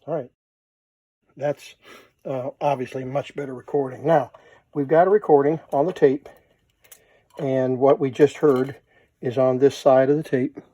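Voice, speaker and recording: A man's voice: medium pitch, fairly even intonation, measured pace, long pauses, mellow, husky. A man giving a casual, unscripted hands-on demonstration, thinking aloud as he works as a hobbyist presenter. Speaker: vintage tape recorder hobbyist, presenter.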